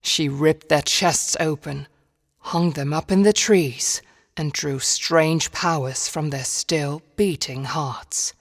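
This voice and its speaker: A woman narrating a dark fairy tale for a game in a measured, solemn storybook manner. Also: low voice, exaggerated voice. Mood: solemn, grave. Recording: long reverberant hall echo.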